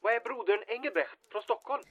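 An actress playing an impatient, bossy, businesslike informant in a period audio drama. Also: female voice, a little high, dull audio, natural voice. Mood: urgent, businesslike. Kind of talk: period radio drama acting.

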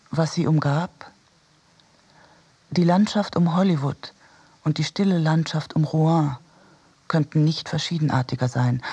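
A man reading literary radio prose in a measured, reflective manner. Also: fairly high pitch, mellow, breathy edge. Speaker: narrator.

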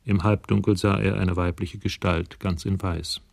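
A man's voice: low voice, steady tone, moderate pace, vocal fry. A man narrating a radio crime drama in a scripted, storytelling style.

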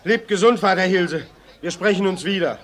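An actor playing a brash, defiant rebel in a German radio drama, loud and cocky. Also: male voice, sits high, normal speed.

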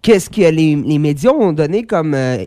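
A man's voice: on the high side, animated, moderate pace, warm tone. Chatty Québécois man hosting sports radio. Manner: rambling, hesitant with many fillers and restarts. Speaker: radio sports show host. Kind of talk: casual sports radio chat.

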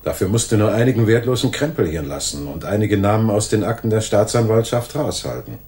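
Actor as calm, deep-voiced police detective. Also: male voice, natural voice. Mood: firm. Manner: measured, firm, reasoning. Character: calm, authoritative, fair-minded male police detective. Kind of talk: 1980s German radio crime drama acting.

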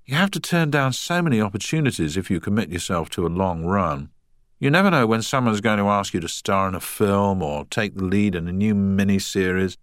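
A man's voice: fairly low pitch, lively intonation, a little fast, dry recording, studio quality, pitched above the performer's own voice, natural voice. A man voicing a vain, self-absorbed fading actor with an affected, theatrical delivery.

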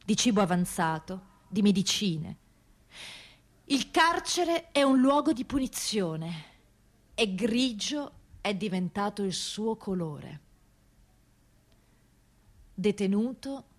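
A woman narrating a scripted radio theatre piece in a deliberate, emphatic way, with weighted pauses. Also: medium pitch.

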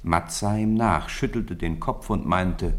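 Low-voiced man narrating a story. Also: measured pace. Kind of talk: scripted story narration for radio play.

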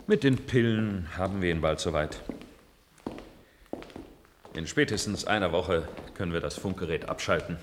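An actor playing a calculating technocrat official who explains and instructs in a science fiction radio drama. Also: male voice, low voice, moderate pace, long pauses, slight background noise, natural voice. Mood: scheming. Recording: long reverberant hall sound.

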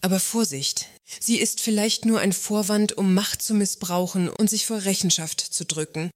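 A self-assured, provocative works councillor, played by a woman in a satirical radio play. She speaks deliberately and assertively at a medium female pitch, recorded very dry. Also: dry recording, close to an everyday voice. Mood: confident, pointed. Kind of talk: German radio play acting.

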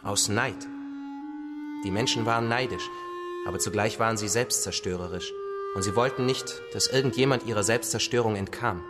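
An actor playing a patient, solemn father-like survivor who tells stories gently and with measured phrasing in a radio drama. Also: fairly low pitch, loud music behind the voice, lightly acted. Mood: storytelling. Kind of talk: radio drama acting, storytelling.

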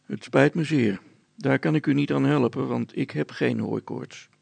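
A man voicing a calm helicopter pilot for a storybook, polite, patient and gently ironic. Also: mid-range voice, normal speed, gravelly, breathy edge, no room sound, pitched above the performer's own voice. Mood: cheeky. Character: calm, courteous, cheeky male helicopter pilot.

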